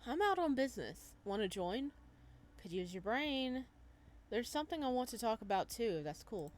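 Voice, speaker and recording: A woman voicing a determined, guarded young man in a visual novel, speaking in a curt, low-key way. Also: big pitch swings, moderate pace, a little mellow. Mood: casual, earnest.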